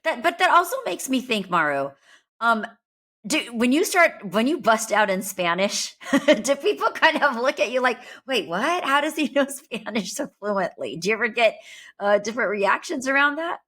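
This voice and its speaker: Bright-voiced woman interviewer. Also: fairly high pitch, big pitch swings, normal speed. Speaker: interviewer, host. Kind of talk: casual interview questions.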